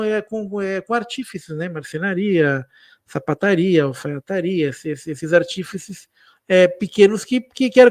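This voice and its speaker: A man's voice: high-pitched, no echo, clean studio sound. A Brazilian man with a São Paulo accent with a slight Italian lilt, chatting loosely about history on a live stream, with many restarts and slangy fillers. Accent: São Paulo Portuguese with an Italian-tinged lilt. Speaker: guest speaker on history. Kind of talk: casual live-stream chat.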